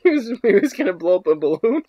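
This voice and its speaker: A young man bantering in an improvised comedy show with an excitable, joking manner. His pitch leaps around dramatically, and the sound is dry. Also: quick pace, dry recording, highs cut off. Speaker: improv podcast performer. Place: room at home, indoors.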